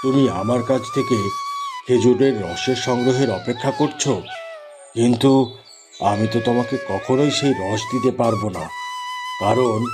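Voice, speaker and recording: A man's voice: medium pitch, normal speed, pauses often, music in the background, close to an everyday voice. A man voicing a kindly, solemn magical tree in a fairy tale cartoon, speaking in a reassuring, instructive way. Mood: grateful, solemn.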